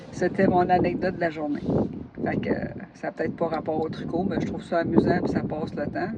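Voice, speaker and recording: A woman's voice: lowish voice, muffled recording, heavy background noise. A woman from northern Gaspésie with a Québécois accent, a knitting vlogger who chats casually, laughs a lot and jumps between topics while tired. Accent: Québécois French. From northern Gaspésie, Quebec. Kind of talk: casual chat.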